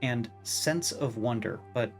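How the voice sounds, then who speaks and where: A man's voice: medium pitch, takes it slowly. A man narrating a scripted video essay in a wry, emphatic way, given to swearing. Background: background music.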